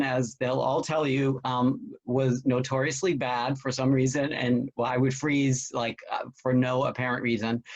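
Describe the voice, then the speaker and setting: A man, a stage actor, answering casually in a reflective, explanatory way over a video call. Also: mid-range voice. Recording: dull lo-fi video-call audio.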